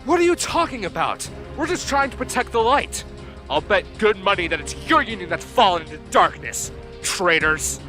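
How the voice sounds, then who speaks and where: Young male warrior acted by a man, accusatory and hostile, high, swooping delivery, anime fandub. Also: sing-song, normal speed, pushed and bright, soft background music, heavily acted. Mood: accusing. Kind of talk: anime game fandub acting.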